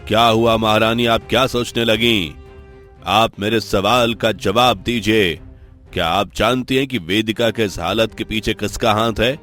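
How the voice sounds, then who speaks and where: A man voicing a worried, gentle king in a cartoon story, speaking calmly and courteously. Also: fairly low pitch, unhurried, faint music behind. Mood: concerned. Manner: calm, courteous.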